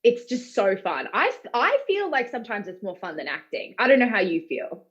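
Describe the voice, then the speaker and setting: A woman's voice: on the high side, wildly swooping pitch, moderate pace, dull audio. An Australian woman, a voice actor, talking in a casual, informal, rambling way. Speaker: voice actor being interviewed. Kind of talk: casual chat.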